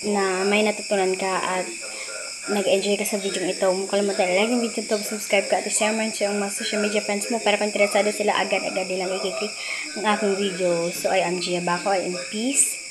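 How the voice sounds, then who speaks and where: A woman's voice: medium pitch, little pitch movement, fast, low-quality recording. A woman with a Filipino accent hosting a casual app tutorial, giving informal step-by-step instructions. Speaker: host of a video tutorial channel.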